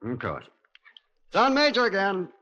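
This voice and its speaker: A man's voice: high voice, huge pitch swings, normal speed, pushed and bright, exaggerated voice. A man playing a genial, wry British Army major with clipped, breezy officer's talk in a 1950s radio drama. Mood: amused. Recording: dull, noisy 1950s radio recording.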